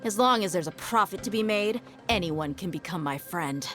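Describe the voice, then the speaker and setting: A shrewd and cocky mercenary woman from a video game. Her voice sits a little low, and she talks with coolly mocking assurance. Soft music plays behind her. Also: lively intonation, moderate pace. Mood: confident, teasing. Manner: smug, taunting, explains at leisure. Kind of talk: scripted video game voice acting.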